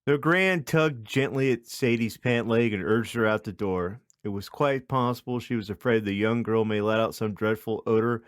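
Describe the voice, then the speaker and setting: A man's voice: lowish voice, normal speed, hardly any pauses, no echo, clean studio sound. A man hosting a podcast, reading a comic story in a mock-dramatic narrating style. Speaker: podcast host.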